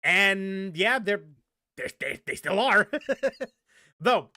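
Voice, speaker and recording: Brief: male gaming streamer, high voice, dry close sound, rambling frustrated rant. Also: lively intonation, very slow, pushed and bright, no echo. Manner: rambling, many restarts and fillers, frustrated. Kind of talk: casual stream commentary.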